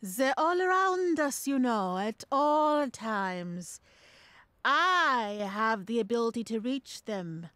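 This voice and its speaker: Woman playing slow, high-voiced, mysterious spirit medium. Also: lively intonation, a bit bright, clean tone, no room sound. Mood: coaxing, confident. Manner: slow, dramatic, portentous. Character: theatrical female spirit medium, mysterious, coaxing and self-assured. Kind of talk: audio ghost story acting.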